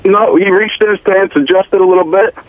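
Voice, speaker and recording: A man's voice: sits high, steady tone, brisk, a little hiss or hum. A man calling into a radio show, casually telling an anecdote. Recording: thin, tinny phone line.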